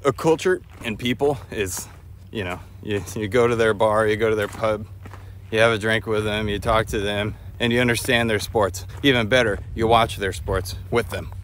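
Guy with a lowish voice talking thoughtfully on a walk, casual vlog, bit of outdoor noise. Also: steady tone, normal speed, fairly bright tone. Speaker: travel vlogger. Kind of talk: casual walking vlog chat. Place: outdoors on a countryside footpath. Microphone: phone at arm's length.

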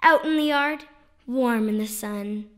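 A woman reads children's poems in a notably high voice with a bright, forward tone. Her delivery is playful and sing-song, leaning on key words with clear emphasis, as in a storybook read-aloud. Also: unhurried. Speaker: children's poetry author and reader.

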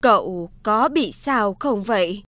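A woman voicing a suspicious, puzzled elderly villager in a cartoon folktale, speaking slowly and questioningly. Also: mid-range voice, lively intonation, a bit bright, no echo, voice pushed down. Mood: puzzled, wary.

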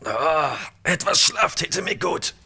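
Man acting a bright-voiced, tired newcomer. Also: a little high, expressive, slow pace, creaky, husky, exaggerated voice. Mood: weary, agreeable. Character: tired male newcomer scientist, polite. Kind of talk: German audio drama acting.

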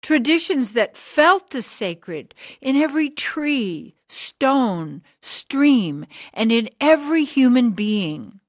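A woman acting in a radio drama as a hard, driven woman of sixty who preaches in a deliberate, rhetorical way. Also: a little high, sing-song, no echo, clean studio sound. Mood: grave. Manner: deliberate, rhetorical, emphatic pauses. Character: hard, driven sixty-year-old woman, bitter anti-church preacher.

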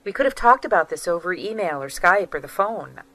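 A bright, guarded estranged wife. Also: female voice, lowish voice, big pitch swings, brisk. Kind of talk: audio drama acting.

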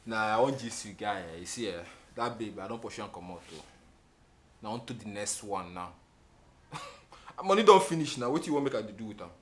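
A Nigerian man playing a brash, smooth-talking, gold-digging boyfriend in a film drama, emphatic and given to repeating himself. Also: on the low side, lively intonation, fairly quick, a bit bright, slight background noise. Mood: boisterous, cynical. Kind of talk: film drama acting, mixing English and Nigerian Pidgin.

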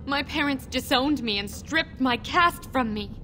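A woman's voice: sits high, measured pace, bright, forceful tone, slight background noise, poor audio. An anxious outcast dwarf mother. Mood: sorrowful. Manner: earnest, confiding. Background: game music.

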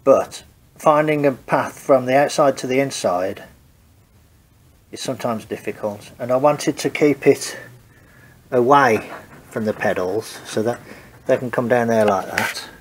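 A man's voice: medium pitch, unhurried, pauses often, slight background noise, low-quality recording. Man with a stuffy cold in garage. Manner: hesitant, thinking aloud while working. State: congested from a cold. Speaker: home car restorer. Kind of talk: casual workshop walkthrough. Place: home garage workshop.

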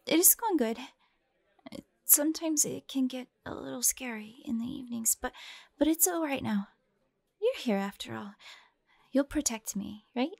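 A woman's voice: high voice, expressive, normal speed, creaky, a little mellow, no echo, exaggerated voice. A woman playing a timid, eager young waitress girl in an audio drama, sweetly earnest and hesitant, with a girlish voice.

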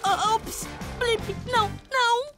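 A woman voicing a gluttonous, excitable little boy motorbike in a children's cartoon, speaking with melodramatic exclamations. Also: very high-pitched, slow pace, over music. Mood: excited, dramatic.

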